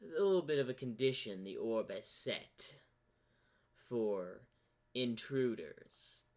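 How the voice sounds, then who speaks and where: A man's voice: medium pitch, expressive, slow, darkish tone. A man voicing an ancient corrupted zebra spirit from a fan-made fantasy cartoon, smug and sly, with a theatrical, teasing drawl. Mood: smug, casual.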